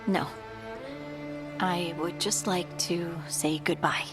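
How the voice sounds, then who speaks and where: A woman's voice: on the low side, fairly even intonation, slow pace. An actress playing an earnest, searching young dwarf woman in a video game, speaking in a wistful, conversational way. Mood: subdued. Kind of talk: video game dialogue acting. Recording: game music behind the voice. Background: game soundtrack music.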